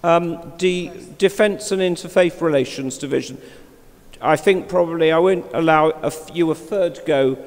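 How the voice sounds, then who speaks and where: A man chairing a formal meeting in a large hall, speaking loudly and emphatically as he appeals to the room. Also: fairly high pitch, moderate pace. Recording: reverberant hall.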